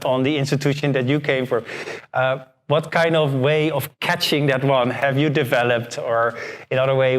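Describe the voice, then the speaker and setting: A man moderating a panel discussion in a conversational, halting way, rephrasing his questions as he goes. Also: mid-range voice.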